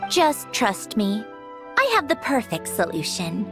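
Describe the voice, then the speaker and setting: An actress voicing a self-assured, haughty young woman scientist in a game, explaining things in a crisp, condescending way. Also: mid-range voice, wildly swooping pitch, unhurried, full character voice. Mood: confident, smug. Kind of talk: scripted video game character dialogue. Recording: game music behind the voice.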